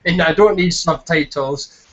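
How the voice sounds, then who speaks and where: A man's voice: on the high side, unhurried, fairly bright tone. A Scottish man casually hosting a football fan chat show in a self-deprecating, jokey way. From Scotland. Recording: dull lo-fi voice chat.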